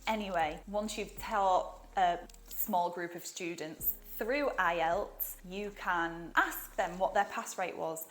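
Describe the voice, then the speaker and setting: A woman's voice: lowish voice, takes it slowly. A woman with a Yorkshire accent giving casual, upbeat advice on teaching online, chatty and full of asides. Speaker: online English teaching coach.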